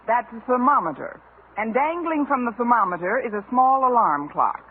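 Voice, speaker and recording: An actress playing a wry, sarcastic high school English teacher with deadpan wisecracks in a 1950s radio comedy. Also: female voice, normal speed, close to an everyday voice. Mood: dry sarcasm. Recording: narrow, noisy 1950s radio recording.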